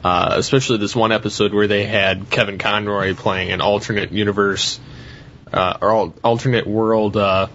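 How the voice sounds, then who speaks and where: A man speaking haltingly as a panelist in a casual podcast discussion. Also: on the low side, flat delivery, fairly bright tone, dull audio, a little hiss or hum.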